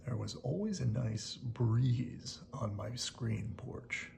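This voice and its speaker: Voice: medium pitch, animated, slow, creaky, noisy background, full character voice. A storyteller voicing an old male wolf from a picture book, homesick, whiny and prone to tantrums, often half-whispered. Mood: wistful. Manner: pleading and plaintive.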